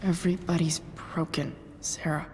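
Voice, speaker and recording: A young woman playing an earnest, grieving teenage girl, blunt, halting and sincere, in a dramatic video game cutscene. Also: on the low side, flat delivery, big-room sound, slight background noise, close to an everyday voice. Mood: gentle, grieving. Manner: halting, sincere, sometimes profane.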